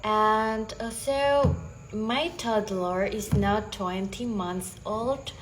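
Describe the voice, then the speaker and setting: A young woman with an Indonesian accent, a native Bahasa Indonesia speaker, practicing English slowly and hesitantly, with frequent restarts. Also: mid-range voice, steady tone, slight background noise, low-quality recording. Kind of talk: casual conversation practice.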